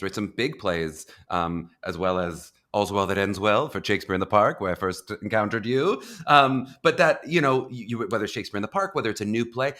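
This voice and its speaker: A male podcast host who talks quickly with a bright, forceful tone. His questions run long and loose, packed with "like" and "you know" as he circles toward the point. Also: lowish voice. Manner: rambling, eager questions with many "like" fillers. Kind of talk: casual interview.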